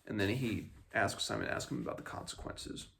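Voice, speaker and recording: A man hosts a casual book discussion in a fairly low voice with plenty of vocal fry. He explains as he goes, in a loose, rambling and slightly hesitant way. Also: sing-song, brisk, pushed and bright, slight background noise. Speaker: host of a book read-along. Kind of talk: casual book discussion with passages read aloud.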